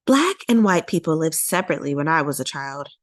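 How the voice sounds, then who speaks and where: A woman's voice: deep voice, very animated, fairly quick, no room sound, clean studio sound. A woman voicing an elderly, hopeful great-grandmother telling her story in a children's storybook, with emphatic, lyrical phrasing. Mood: reminiscing. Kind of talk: children's storybook character reading.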